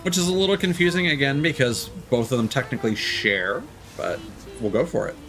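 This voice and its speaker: A man's voice: medium pitch, a little fast, a bit bright. A man giving casual, rambling live commentary as he thinks aloud through a video game. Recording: music behind the voice. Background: game music.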